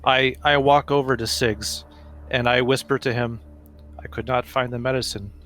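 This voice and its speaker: A man of middling pitch speaks as a player in a tabletop roleplaying game. His delivery is halting and deliberate, slipping between casual table chat and in-character lines. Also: fairly even intonation, a bit bright, faint music behind.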